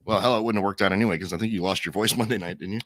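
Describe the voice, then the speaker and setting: Fast-talking, laid-back man co-host. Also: lowish voice, fairly even intonation, fairly bright tone, no room sound, studio quality. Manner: brisk, laid-back, laughs often. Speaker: co-host, racing engine advisor. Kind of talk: casual chat.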